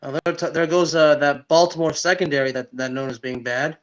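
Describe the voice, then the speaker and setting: A man's voice: fairly high pitch, fairly even intonation, brisk, fairly bright tone. A man co-hosting a sports podcast, chatting casually and chiming in with short comments. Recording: dull, lo-fi audio.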